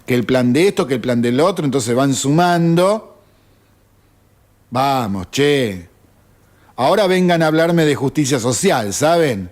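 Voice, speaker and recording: A man's voice: medium pitch, lively intonation, unhurried, pauses often. A man with an Argentine accent, hosting a radio show and delivering an indignant opinion monologue full of rhetorical questions. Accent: Argentine Spanish. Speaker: radio host.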